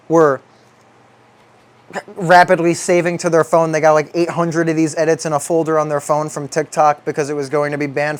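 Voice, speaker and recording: A young man with a slightly high voice talks through his thoughts in a loose, reflective monologue. His delivery is halting, and he stretches out words when he wants to stress them. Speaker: video essayist.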